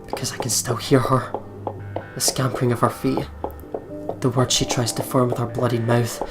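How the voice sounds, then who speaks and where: A male gamer with a medium-pitched voice reads horror game narration aloud. He tells it dramatically, with clear music behind him. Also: level tone, normal speed. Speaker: let's play host. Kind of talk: reading visual novel narration aloud with casual reactions.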